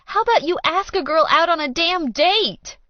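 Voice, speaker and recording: A woman's voice: very high voice, moderate pace, no echo, lo-fi sound, pitched above the performer's own voice. A woman narrator voicing a hurt, angry young woman with a quavering, accusing delivery in an audiobook romance. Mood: furious, hurt. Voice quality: wobbly, quavering. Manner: emotional, accusing, crying out. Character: hurt, angry young woman confronting her lying lover.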